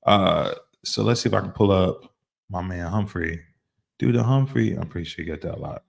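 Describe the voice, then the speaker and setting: Deep, gravelly man, laid-back chat. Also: normal speed. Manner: laid-back, rambling, many fillers. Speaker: host. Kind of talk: casual reaction chat.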